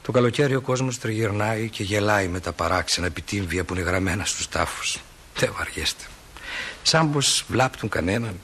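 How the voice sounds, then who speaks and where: A folksy small-town stage narrator with a low, crisp-edged voice. He muses to the audience with gentle, reflective wryness. A faint layer of noise sits behind him. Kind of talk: theatrical narration addressed to the audience.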